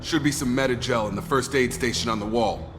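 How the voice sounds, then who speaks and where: An actor playing a steady male soldier who speaks in a level, commanding way in a video game. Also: medium pitch, a little fast, music in the background, lightly acted. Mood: calm.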